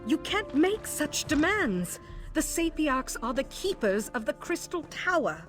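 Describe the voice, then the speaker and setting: A proud, unyielding elf official for a fantasy game. Her voice is on the high side and very mobile in pitch, formal and distrustful. Soft music plays underneath. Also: big pitch swings, unhurried. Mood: stern. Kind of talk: scripted video game dialogue.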